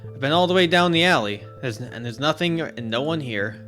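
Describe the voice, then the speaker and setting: A man voicing a tired, cold female police officer who talks flatly and doubts what she hears. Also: on the high side, fairly quick, faint music behind. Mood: cold, skeptical. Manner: flat, skeptical, matter-of-fact.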